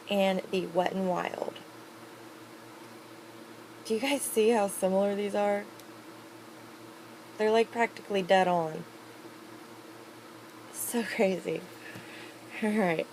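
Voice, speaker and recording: A woman talks casually into her camera at home, upbeat and chatty, drifting through fillers as she reviews products. Her voice sits at a middling pitch, and a faint hiss runs underneath. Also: takes it slowly, long pauses, a little mellow, rough recording. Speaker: beauty vlogger.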